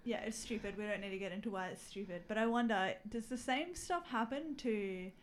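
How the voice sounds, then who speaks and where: A woman's voice: mid-range voice, brisk. A woman co-hosting a podcast who breaks in with blunt, outspoken questions in casual chat.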